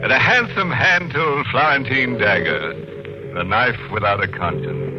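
An actor playing a gleefully morbid, mock-genial horror host in 1940s radio style, with theatrical delivery. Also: male voice, medium pitch, expressive, moderate pace, background noise, natural voice. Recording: dull old radio recording, music behind.

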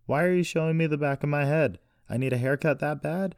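Man voicing a taunting, higher-pitched captive woman. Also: fast talker, darkish tone, dry recording, studio quality, natural voice. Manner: teasing, flippant. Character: taunting, defiant captive woman masking her fear.

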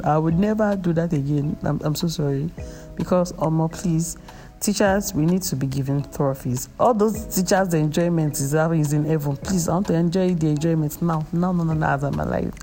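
A woman's voice: deep voice, fairly quick, mellow, soft background music. A young Nigerian woman with a Nigerian accent, hosting a podcast in a chatty, self-mocking way, often hesitating with fillers. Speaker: podcast host. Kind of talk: casual chat.

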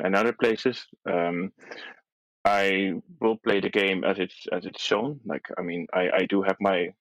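A young man with a low voice, whose first language is Dutch, chats as a podcast guest. He often restarts his sentences and laughs softly between thoughts. The recording is dry and close. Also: no room sound, rough recording. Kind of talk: casual chat.

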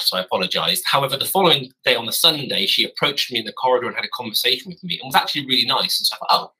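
Husky-voiced man, rambling storyteller. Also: medium pitch, sharp tone, poor audio. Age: 30s. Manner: rambling, self-correcting, wry. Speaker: podcast guest. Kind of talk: casual storytelling. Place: garden office.

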